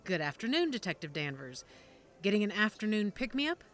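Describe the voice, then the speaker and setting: A woman playing a composed, guarded werewolf pack matriarch in an audio drama, measured and wry. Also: medium pitch, big pitch swings, fairly bright tone, faint music behind. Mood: relaxed, cordial. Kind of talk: naturalistic audio drama dialogue.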